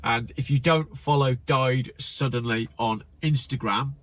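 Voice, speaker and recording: A man's voice: medium pitch, a little slow, a bit bright. A man hosting his channel, reading memes aloud in casual commentary with wry, sarcastic asides and a slightly stuffy, nasal voice. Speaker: channel host.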